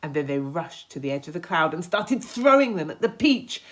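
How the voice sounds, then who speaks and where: A woman reading a children's story aloud with expressive, dramatic emphasis. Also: low voice, normal speed.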